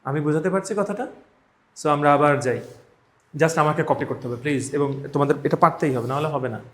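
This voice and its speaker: A man's voice: a little high, fairly quick, a bit bright. A man with a Bangladeshi accent teaching a live class, emphatic, repeating phrases and checking that students follow. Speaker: spoken English teacher. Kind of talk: live classroom instruction with drills. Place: classroom, indoors. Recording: echoey room.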